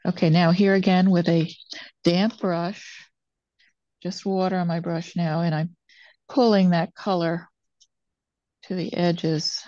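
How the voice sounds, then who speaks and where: An older woman teaching watercolor, thinking aloud as she demonstrates and pausing between phrases while she works. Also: fairly low pitch, flat delivery, mellow, dry recording. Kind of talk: real-time demonstration narration, thinking aloud. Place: indoors at a painting table. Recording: dull, muffled lo-fi sound.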